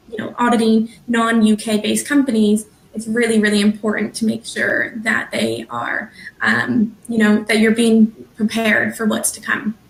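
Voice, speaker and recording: A woman's voice: on the high side, creaky, echoey room, slight background noise, rough recording. A woman with a Canadian accent, a business guest speaking casually on a webinar with frequent ums. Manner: relaxed and friendly, with frequent ums. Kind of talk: webinar presentation. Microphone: video call.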